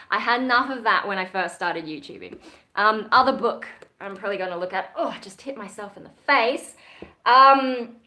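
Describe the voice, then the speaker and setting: A woman's voice: mid-range voice, normal speed, sharp tone. A young Australian woman chatting casually on a livestream, reading out and answering viewer comments. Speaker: YouTuber.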